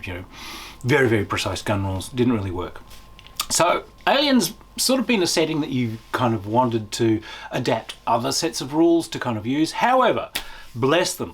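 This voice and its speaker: A man's voice: medium pitch, a little hiss or hum. A man giving a casual, unscripted review as a tabletop game reviewer, enthusiastic and emphatic, drawing out key words.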